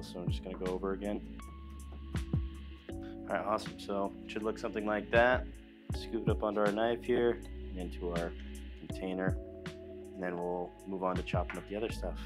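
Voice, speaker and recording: A young man talking viewers through a recipe in a small studio kitchen set, relaxed and chatty, with music playing underneath. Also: deep voice. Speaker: cooking vlogger. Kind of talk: casual cooking demonstration. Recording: clear background music under voice.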